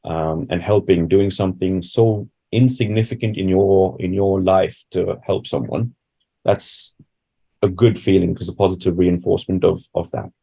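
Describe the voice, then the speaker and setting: A man's voice: sits low, steady tone, moderate pace, creaky, warm tone, breathy edge. A man from India giving reflective, conversational answers as a doctor being interviewed. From Bangalore, India.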